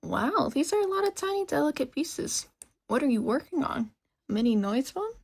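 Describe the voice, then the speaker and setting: Storybook shopkeeper, played by a female reader: eager, exclamatory tinkerer woman, bright-spirited and gushing, pitched well up. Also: sing-song, normal speed, creaky, exaggerated voice. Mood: excited. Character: cheerful, excitable adult woman shopkeeper and tinkerer.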